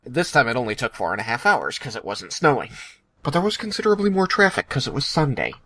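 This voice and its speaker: A man's voice: mid-range voice, very animated, fast, sharp tone, no room sound. A man co-hosting a podcast in casual chat, with a jokey, sarcastic way of talking. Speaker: podcast co-host.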